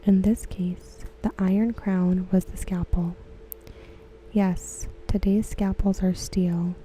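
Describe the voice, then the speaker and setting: A woman reading aloud in a soft, breathy, near-whispered ASMR style, gentle and measured, close to the microphone. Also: medium pitch, level tone, slight background noise, low-quality recording. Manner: gentle, hushed, measured delivery. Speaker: ASMR host. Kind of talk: softly spoken ASMR read-aloud of forum comments.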